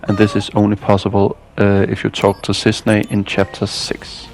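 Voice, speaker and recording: A man's voice: lowish voice, completely flat delivery, normal speed, darkish tone, soft background music. A man narrating a scripted video game walkthrough as a guide.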